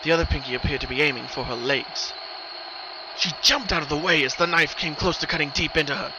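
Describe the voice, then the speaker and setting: A man's voice: brisk, dull audio. A man reading a scripted horror story as a dramatic narrator. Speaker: narrator of horror fan fiction. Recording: noisy recording, rumbling ambient background.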